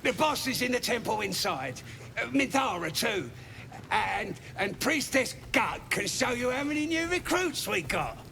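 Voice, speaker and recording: A man playing a nervous goblin underling in a video game, fawning and stammering with rough grammar, in a very reverberant space. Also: very high voice, very animated, unhurried, bright, forceful tone, full character voice. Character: small, grovelling goblin underling, nervous and eager to please. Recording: long hall reverb, faint ambient noise.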